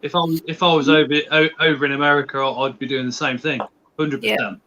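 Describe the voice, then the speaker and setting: A man hosting a casual livestream chat, talking in a relaxed, chatty way. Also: medium pitch, fast, a little hiss or hum. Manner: relaxed, chatty, some restarts. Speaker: channel host. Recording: muffled lo-fi audio.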